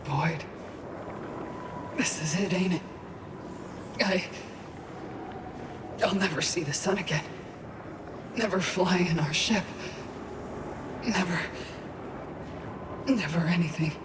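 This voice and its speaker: An actress voicing a wounded, frightened woman agent in a video game, speaking in a halting, pleading way. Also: fairly low pitch. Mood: despairing, pleading. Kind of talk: cinematic video game acting. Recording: roomy echo, background ambient noise.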